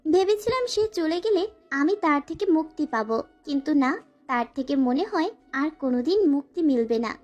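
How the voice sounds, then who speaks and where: A woman's voice: high-pitched, fairly quick, a little mellow, faint music behind, close to an everyday voice. A woman playing a frightened, pleading young student bride in a dramatized first-person romantic audio story. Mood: sad, confiding. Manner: plaintive, pleading.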